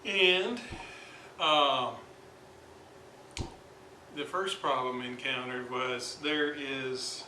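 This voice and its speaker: A man presents a hands-on repair in an informal, halting way, thinking aloud as he goes. His delivery is slow, with long gaps between phrases. The recording is amateur, with clear room echo and plenty of noise behind the voice. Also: mid-range voice, pushed and bright. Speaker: hobbyist radio restorer presenting his repair. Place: indoors at a repair workbench. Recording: roomy, noisy amateur workshop recording.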